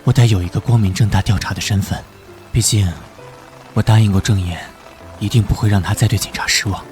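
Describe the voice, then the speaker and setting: A male actor voicing an earnest, good-natured, easily flustered police detective in an animated drama. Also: fairly low pitch, fairly quick, soft background music, natural voice. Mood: earnest, resolute. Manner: conciliatory, earnest.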